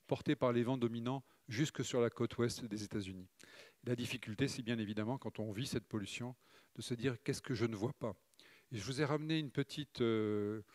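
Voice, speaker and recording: A middle-aged man from Provence gives a conference talk over slides, speaking in a measured way and pausing often with fillers. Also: mid-range voice, no echo. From Morocco, raised in Provence. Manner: measured, hesitant with frequent "euh" fillers.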